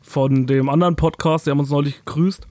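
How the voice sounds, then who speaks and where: A man with a Saxon accent, co-hosting a podcast in casual, joking chat and often pausing with fillers. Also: fairly high pitch, steady tone, a little mellow, dry recording. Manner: joking, halting with many fillers. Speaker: podcast co-host.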